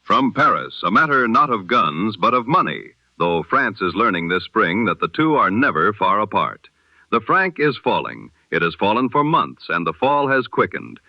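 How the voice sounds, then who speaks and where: A man presenting a scripted news bulletin in the style of 1920s radio, with a measured, formal, solemn delivery. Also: fairly low pitch, big pitch swings, dry recording, muffled recording.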